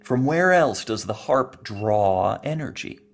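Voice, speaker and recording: A man's voice: mid-range voice, lively intonation, slow pace, creaky, full character voice. A man playing a playful, pedantic xenobiologist in an audio drama, teasing and quizzing in a precise, professorial manner. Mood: professorial, enthusiastic.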